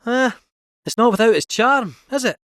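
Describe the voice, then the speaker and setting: A man's voice: extremely high pitch, expressive, moderate pace, a bit bright, no room sound, clean studio sound. A man voicing a cheeky, chatty male robot cat fortune teller with a lilting Scottish accent, in video game voice lines. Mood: wry musing.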